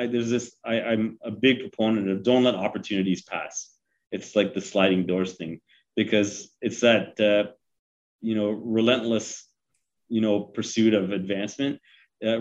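A man's voice: on the low side, lo-fi sound. A man in his forties, from Sri Lanka, giving advice in a casual interview, talking with many fillers and restarts. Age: 40s. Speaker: guest.

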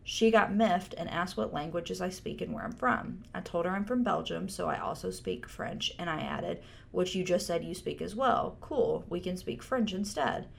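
A woman's voice: lowish voice, creaky. A woman co-hosting a casual podcast, reading an online post aloud and adding wry asides. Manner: wry, reads with side comments. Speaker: podcast co-host.

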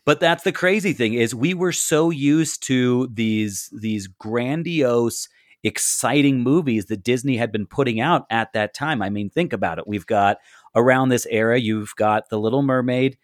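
A man in his thirties talks in a relaxed, reflective way, sometimes repeating words while he gathers his thoughts. His voice has a middle pitch. The sound is close and dry. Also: normal speed, no echo. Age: 30s. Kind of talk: casual chat.

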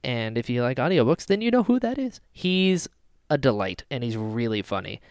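A man's voice: medium pitch, very animated, moderate pace, smooth, no room sound. A man co-hosting a casual fan podcast with jokey banter. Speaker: podcast co-host.

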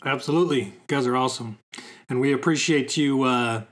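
A young man co-hosting a podcast in casual chat, slightly hoarse, laid-back and joking. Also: medium pitch, fairly quick, a bit bright. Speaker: podcast co-host.

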